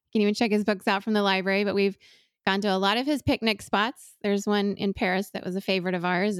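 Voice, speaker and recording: A woman's voice: mid-range voice, clear, resonant tone, no echo. Earnest woman, dry close sound. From Venezuela. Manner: earnest, thoughtful, with frequent restarts. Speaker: guest. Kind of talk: reflective interview conversation.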